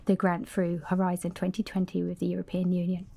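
A woman's voice: lowish voice, completely flat delivery, a little fast, vocal fry, warm tone, husky. A young woman from Cumbria in northern England, a doctoral student guest on a podcast who talks in a chatty way with frequent restarts. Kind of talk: podcast interview.

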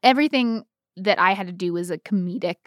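A woman's voice: medium pitch, fast, no room sound, studio quality. A woman in her thirties with an American accent, telling stories in casual podcast chat with a self-mocking, sweary, playfully boastful manner. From United States. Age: 30s. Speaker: podcast co-host. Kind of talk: casual comedic chat, storytelling.

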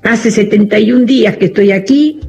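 A woman in her early eighties, telling her story in a measured, narrative way. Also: medium pitch, mellow, breathy edge, faint music behind. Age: 80s. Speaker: older caller. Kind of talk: recorded personal testimony. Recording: muffled phone recording.

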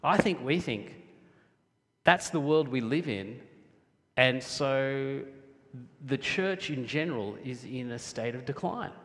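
A man from Sri Lanka preaching a sermon as a pastor, in an emphatic but conversational way, slowing down for weight. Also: mid-range voice, a bit bright. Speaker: pastor preaching to his congregation. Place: large church hall, speaking to a congregation. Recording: echoey hall with long reverb.

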